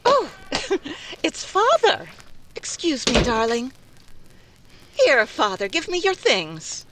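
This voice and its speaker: A woman's voice: high voice, huge pitch swings, takes it slowly, noisy background, full character voice. A woman playing a jealous, bossy young fiancée in 1940s radio drama style, imperious and theatrical in manner. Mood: sweetly fussing. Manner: sharp, imperious, scornful.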